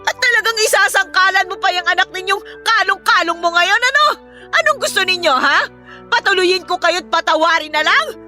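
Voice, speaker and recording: A woman playing a bitter, sharp-tongued betrayed older wife in a Filipino radio drama, scornful, taunting and emphatic. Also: extremely high pitch, animated, normal speed, soft background music, heavily acted. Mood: bitter indignant.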